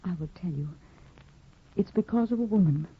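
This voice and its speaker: A woman's voice: lowish voice, lively intonation, moderate pace, husky, exaggerated voice. A woman playing a timid, devoted young woman in a 1950s radio drama, earnest and anxious. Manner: earnest and anxious, soft-spoken. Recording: tinny old radio broadcast.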